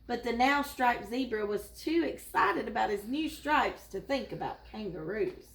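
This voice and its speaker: A woman's voice: on the high side, expressive, a little slow, vocal fry, a bit bright. A woman reading a children's storybook aloud in the manner of a school teacher. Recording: echoey room.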